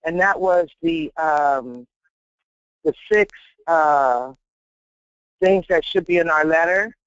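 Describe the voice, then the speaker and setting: A man with a somewhat high voice politely asks questions on a conference call. His audio is dry and dull, with a low-fi call quality. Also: slow pace, fairly bright tone, clean tone, no echo. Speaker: caller asking questions.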